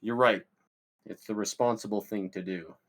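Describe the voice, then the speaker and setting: A man playing an awkward, excitable young stoner, cocky and bumbling, in a comedy script read. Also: lowish voice, normal speed. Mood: excited. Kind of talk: comedy screenplay table read.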